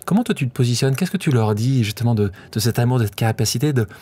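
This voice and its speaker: A man hosting a podcast, asking long, halting questions that search for words. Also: mid-range voice, animated, a little mellow, slightly rough. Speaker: podcast host. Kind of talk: casual interview talk.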